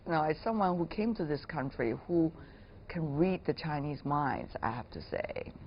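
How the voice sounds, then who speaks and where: A woman hosting an interview, putting questions in a measured, deliberate conversational way. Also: low-pitched. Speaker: interviewer, host. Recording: dull lo-fi sound with clear noise.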